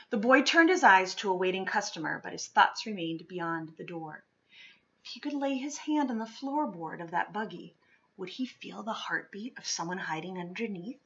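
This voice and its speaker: Female storyteller for children's read-aloud: bright tone, mid pitch, expressive and deliberate phrasing, somewhat lo-fi sound. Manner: expressive, deliberate phrasing with dramatic pauses and emphasis. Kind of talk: dramatic children's picture-book read-aloud.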